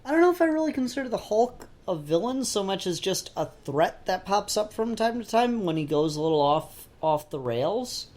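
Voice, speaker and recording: A man's voice: high voice, sing-song, normal speed, few pauses, a little mellow. Man rambling casually on a podcast. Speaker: podcast panelist. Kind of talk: casual discussion.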